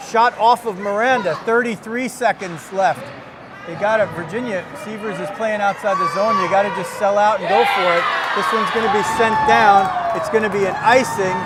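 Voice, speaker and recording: A man doing live play-by-play commentary on ice hockey in clipped running calls of the action. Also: high voice. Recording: loud crowd and rink noise. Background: crowd and rink noise.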